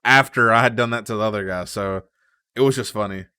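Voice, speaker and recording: A man's voice: fairly low pitch, brisk, sharp tone, clear, resonant tone, dry recording, studio quality. A young man co-hosting a podcast, telling long stories in casual chat, full of fillers and restarts. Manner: rambling storyteller, many fillers and restarts. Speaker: podcast co-host.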